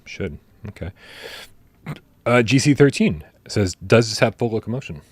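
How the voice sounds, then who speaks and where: A man hosting a casual gaming livestream, talking in animated bursts, swearing casually and restarting his sentences. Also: fairly low pitch, moderate pace, husky, no echo. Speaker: podcast host.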